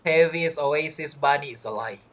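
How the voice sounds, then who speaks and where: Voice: mid-range voice, moderate pace. A game streamer giving casual live commentary, rambling and thinking aloud.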